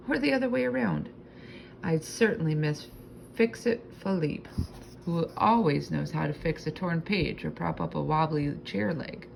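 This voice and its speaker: A woman narrates a children's storybook aloud. Her voice sits a little low and has noticeable fry, and she reads expressively, drawing out key words. There is faint noise in the recording. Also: rough recording. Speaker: storybook reader.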